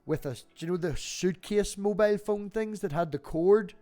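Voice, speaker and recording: A man's voice: high voice, normal speed, dark tone. A middle-aged man chatting casually on a livestream, talkative and sweary, telling anecdotes. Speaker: livestream host.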